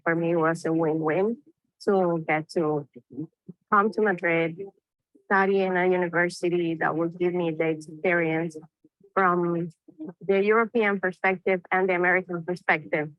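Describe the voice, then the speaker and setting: A woman's voice: lowish voice, steady tone, normal speed, no echo, dull audio. A woman, a graduate student giving an enthusiastic testimonial in a promotional video. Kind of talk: testimonial interview.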